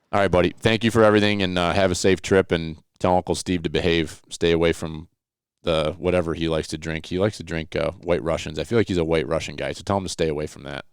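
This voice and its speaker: A man's voice: deep voice, steady tone, quick pace, clear, resonant tone, no room sound, studio quality. A man hosting a casual outdoors podcast with live calls, talking in a rambling, joking, jovial way.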